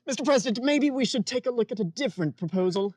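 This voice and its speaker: Woman playing a husky, prim male adviser. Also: on the high side, expressive, fast talker, darkish tone, no room sound. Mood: dismayed. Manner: deferential, prim, apologetic. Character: flustered, deferential male presidential committee adviser Casey. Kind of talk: old-time radio sketch comedy parody.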